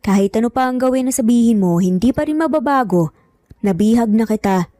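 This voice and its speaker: A woman narrator plays a vengeful middle-aged male kidnapper in an audio drama. He is menacing and gloating, taunting his captive, with a soft, mellow tone in a dry close recording. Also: on the high side, brisk, no room sound, close to an everyday voice. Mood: bitter, threatening.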